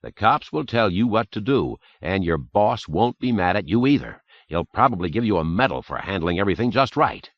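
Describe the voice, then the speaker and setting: A man voicing an elderly man speaking softly and calmly. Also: on the low side, a little fast, few pauses, no echo, lo-fi sound. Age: 70s. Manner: soft, calm. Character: elderly man, quietly enraged but gentle.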